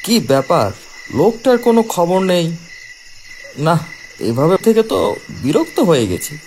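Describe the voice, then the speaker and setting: A man's voice: high-pitched, big pitch swings, measured pace, a little hiss or hum. A man voicing an anxious traveller in a horror cartoon, dramatic and full of exclaimed questions. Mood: uneasy, puzzled. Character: anxious male traveller lost at night in horror tale. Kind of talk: animated horror cartoon dialogue. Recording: loud music behind.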